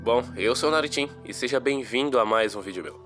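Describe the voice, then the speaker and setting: A man's voice: medium pitch, a little fast, music in the background. Stuffy-nosed young Brazilian man chatting. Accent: Brazilian Portuguese. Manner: slangy, chatty, rambling asides. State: congested from a cold, nasal. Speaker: gaming YouTuber. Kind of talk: casual game review monologue.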